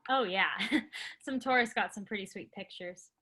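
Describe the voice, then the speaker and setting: A woman acting a bold, excitable young amateur matador in a play reading, animated, breezy and chatty. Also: medium pitch, moderate pace. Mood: excited, breezy. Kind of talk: staged play reading, conversational.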